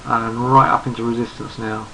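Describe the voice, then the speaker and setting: A man with a gravelly, croaky voice walks through trading charts. He is losing his voice and sounds hoarse. He speaks at a relaxed pace, thinking aloud and repeating himself as he goes. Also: medium pitch, normal speed, noisy background. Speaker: trading analyst. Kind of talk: screen-recorded market chart commentary.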